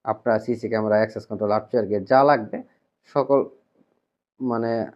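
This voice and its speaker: A man's voice: on the low side, fairly even intonation, normal speed. Bangladeshi man explaining, in dull lo-fi audio. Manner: conversational, repetitive, mixes in English words. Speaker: shop representative presenting to customers. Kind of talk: informal explainer to camera.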